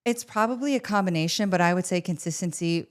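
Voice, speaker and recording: A woman's voice: fairly low pitch, fast. A woman in her forties, a guest on a podcast, upbeat and emphatic, laughing easily. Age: 40s. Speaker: guest, beauty practice director. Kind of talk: casual interview chat.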